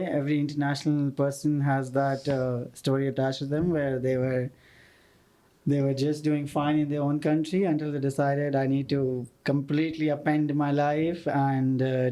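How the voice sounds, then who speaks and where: A man's voice: fairly high pitch, mellow. A man from Pakistan, a guest architect, telling his story as a thoughtful, fluent storyteller. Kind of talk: casual interview talk.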